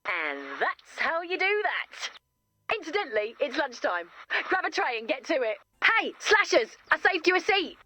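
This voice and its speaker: A woman's voice: high-pitched, sing-song, normal speed, bright, forceful tone, no echo, exaggerated voice. A woman voicing a chipper camp counselor in a video game, with an upbeat, theatrical storytelling manner. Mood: wry, then friendly. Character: chipper, theatrical female camp counselor telling spooky stories. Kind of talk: video game character acting.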